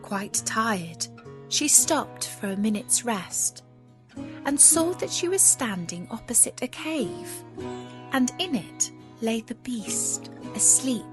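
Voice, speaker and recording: A woman narrating a scripted fairy tale as a storyteller, measured and expressive. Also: a little high.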